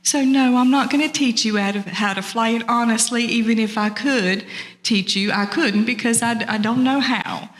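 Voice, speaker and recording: A woman's voice: fairly high pitch, moderate pace, voice pushed up, close to an everyday voice. A woman voicing a sensible male eagle who speaks firmly and emphatically, admonishing in an oral folktale. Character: firm, sensible male eagle, turtle's best friend. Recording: long reverberant hall sound.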